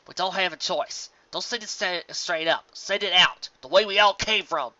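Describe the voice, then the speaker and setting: Narrator doing a no-nonsense folksy farm girl pony, bright forceful voice, cartoon fanfic. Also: medium pitch, normal speed, no room sound, dull audio, voice pushed up, lightly acted. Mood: urgent. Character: steady, practical female farm pony, folksy. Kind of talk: fan fiction character voice.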